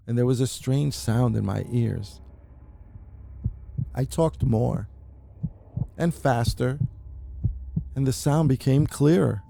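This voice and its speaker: Man's gravelly, mellow dramatic storytelling. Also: medium pitch. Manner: theatrical, deliberate, with emphatic repetitions. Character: mad, anxious murderer confessing his crime. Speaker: amateur narrator reading a horror short story. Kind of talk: dramatic first-person story reading, audiobook-like.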